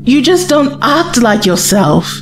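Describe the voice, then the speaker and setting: A woman's voice: on the high side, big pitch swings, takes it slowly, soft background music. A woman playing an anxious, devoted young companion in an audio drama, speaking in an earnest, hesitant way.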